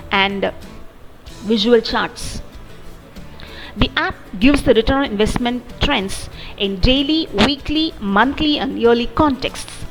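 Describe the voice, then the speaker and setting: Female software demo narrator, higher-pitched voice, methodical walkthrough delivery, music underneath. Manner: methodical, step-by-step explaining. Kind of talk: explanatory tutorial narration. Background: background music.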